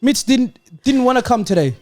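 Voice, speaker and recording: A man's voice: very high voice, animated, normal speed, darkish tone, no room sound, faint music behind. A man co-hosting a football podcast with a plaintive, melodramatic delivery, doing a mock-sad comic monologue to his friends. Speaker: podcast co-host.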